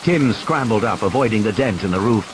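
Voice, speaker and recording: A man's voice: lowish voice, lively intonation, a little fast, background noise. A man reading a thriller audiobook as a dramatic scripted narration. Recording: clear rain and storm effects behind. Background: rain, vehicle and ambience sound effects.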